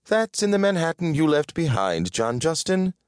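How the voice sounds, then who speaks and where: A fussy, kindly woman of an audiobook fantasy, acted out by a man. The voice sits high above a natural male register and swings with excitement. It is proper and exclamatory, quick to scold, in a dry, close recording. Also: no echo. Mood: fussy, insistent.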